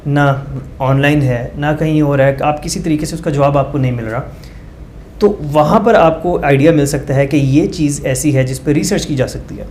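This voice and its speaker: Man, doctor guest, teacherly, numbered points, frequent ums, higher pitch. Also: moderate pace, darkish tone, slight background noise. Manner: teacherly, walks through numbered points with everyday examples; hesitant fillers, mixes English phrases. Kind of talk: explaining research step by step.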